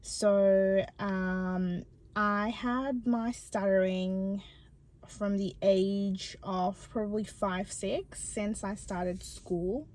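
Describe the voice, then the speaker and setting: A young woman from Bangladesh who stutters, talking personally to her viewers with many pauses and ums. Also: mid-range voice, fairly even intonation, darkish tone. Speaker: channel host. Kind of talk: personal vlog talk to camera.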